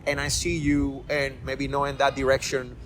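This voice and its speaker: A man's voice: mid-range voice, monotone, moderate pace, slight background noise. A middle-aged man from Rico, hosting a casual interview podcast and rambling through repeated words and restarts. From Puerto Rico. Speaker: podcast host.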